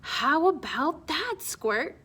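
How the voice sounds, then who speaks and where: A brash storybook uncle , put on by a woman reader, teasing and breezy. The voice is bright and raised in pitch, with punchy, slangy delivery. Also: very animated, slow pace, heavily acted.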